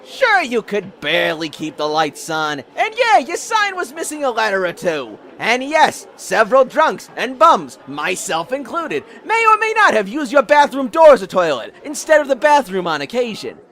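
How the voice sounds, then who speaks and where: A man's voice: very high-pitched, huge pitch swings, normal speed, hardly any pauses, fairly bright tone, voice pushed up, heavily acted. A man playing a theatrical, indignant bar regular in an audio drama, ranting bombastically and swearing. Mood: accusing, ranting.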